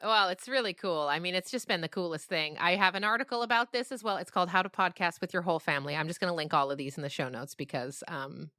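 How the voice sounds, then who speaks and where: A middle-aged woman talking casually in an interview as an author and podcast creator, reflective and animated as she tells her stories. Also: lowish voice, fast talker, few pauses, dry recording, clean studio sound.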